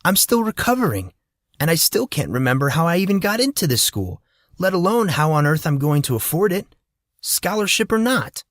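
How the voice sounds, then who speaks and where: A man's voice: fairly high pitch, sing-song, normal speed, no room sound, clean studio sound, voice pushed up. A man voicing a grumbling, defensive fourteen-year-old schoolboy in an audiobook, with a sulky, hesitant manner. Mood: exasperated.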